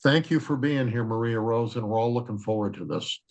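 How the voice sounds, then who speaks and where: A man with a loud, projecting voice introduces a guest speaker on a video call. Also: mid-range voice, fairly quick. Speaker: former president of the organization, introducing the speaker.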